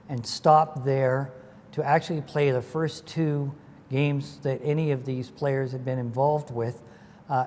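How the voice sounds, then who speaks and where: Slow, halting man in his sixties. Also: mid-range voice, a little mellow. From Ontario, Canada. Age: 60s. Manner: slow and halting, many uh and um fillers. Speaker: interview guest, former lacrosse organizer. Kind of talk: recalling past in interview. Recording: long reverb, faint noise.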